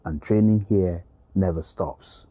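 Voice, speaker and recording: A man's voice: deep voice, slow pace. A man with a Nigerian accent narrating a scripted news feature as a reporter, with background noise.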